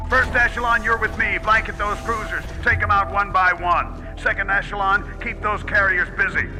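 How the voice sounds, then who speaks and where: Man playing a forceful, high-pitched naval admiral. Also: steady tone, normal speed, music in the background, lightly acted, low-quality recording. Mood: urgent. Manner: barking, urgent commands. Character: forceful naval admiral rallying his fleet. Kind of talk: dramatic video game voice acting.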